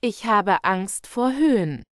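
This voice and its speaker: Slow, dry-voiced woman reading German phrases. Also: medium pitch, expressive, no room sound. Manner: carefully enunciated, each phrase read twice. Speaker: German example sentence reader. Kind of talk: slow, clear model sentences for learners.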